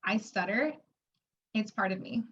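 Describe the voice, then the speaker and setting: A woman's voice: mid-range voice, lively intonation, a little slow, breathy edge, lo-fi sound. Stuttering woman in her twenties. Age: 20s. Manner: stutters with sound repetitions and blocks, many fillers. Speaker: interviewee. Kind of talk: personal testimony.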